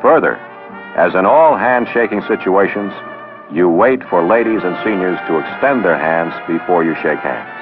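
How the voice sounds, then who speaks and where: A low-voiced man narrates a 1960s training film. He instructs in a lively, rising and falling delivery with dry sarcastic asides. The old soundtrack is dull, with music behind him. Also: moderate pace. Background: background music.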